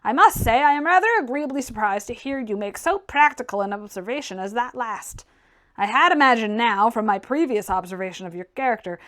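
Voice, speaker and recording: A woman plays a blunt young gentleman of radical temper in a classic-novel audiobook. The delivery is forceful and declamatory, pitched high and swinging widely with each emphatic point. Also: close to an everyday voice. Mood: coolly probing. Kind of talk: audiobook character reading.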